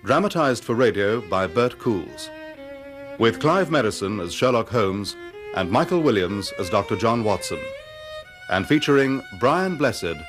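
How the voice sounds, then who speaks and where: A man announces a radio drama in a scripted style. His voice sits low with a varied melody, and a violin plays clearly behind him. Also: big pitch swings, unhurried. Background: violin music.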